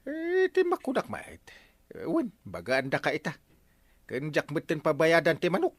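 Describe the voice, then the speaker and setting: A stern Ilocano village captain. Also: male voice, fairly high pitch, very animated, normal speed, no echo. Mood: lecturing. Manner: scolding, lecturing. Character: stern middle-aged male village captain. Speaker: barangay captain. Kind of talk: radio drama acting.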